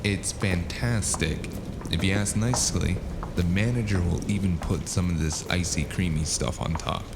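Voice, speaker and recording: A man playing a world-weary, wisecracking noir detective with a gravelly voice and a dry, sardonic, laconic delivery in an audio drama. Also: low-pitched, moderate pace. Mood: wry banter. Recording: noisy sound bed.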